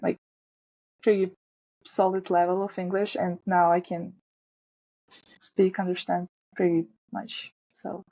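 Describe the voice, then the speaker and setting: A woman's voice: fairly low pitch, little pitch movement, unhurried, long pauses, warm tone, no room sound, highs cut off. A young woman whose first language is Ukrainian, a college volleyball player speaking carefully in simple sentences. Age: young adult. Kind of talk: casual interview answers.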